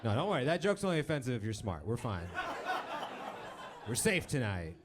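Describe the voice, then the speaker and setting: A man's voice: mid-range voice, sing-song, fairly quick, background noise. 30s guy doing standup, dry self-deprecating jokes, voice swings way up and down. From born in Romania, raised in Texas. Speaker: stand-up comedian. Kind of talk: stand-up set. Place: comedy club stage with live audience.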